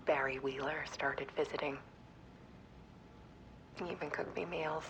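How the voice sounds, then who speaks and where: A haunted, obsessive woman photographer in a game drama, confiding in an intimate monologue. Her voice is hushed and halting, with a gravelly fry and a crisp edge, over faint rumbling noise. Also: poor audio. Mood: weary, reflective.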